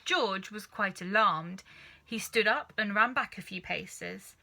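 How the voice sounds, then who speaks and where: A woman reading a children's story aloud in an expressive, dramatic narrating style. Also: medium pitch, slow pace, creaky. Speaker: storybook reader.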